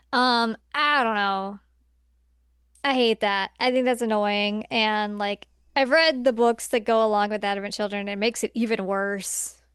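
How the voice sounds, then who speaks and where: Female podcast co-host, smooth clear voice, dry close sound, blunt and exasperated casual opinions. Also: medium pitch, a bit bright, no room sound. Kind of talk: casual opinionated film discussion.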